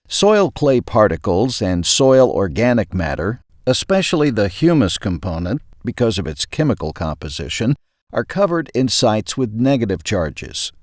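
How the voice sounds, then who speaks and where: A man narrates a scripted article in a lowish voice with lively intonation. He takes his time and articulates each point in an explanatory way. The recording is dry and close. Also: dry recording. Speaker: host reading an audio magazine article.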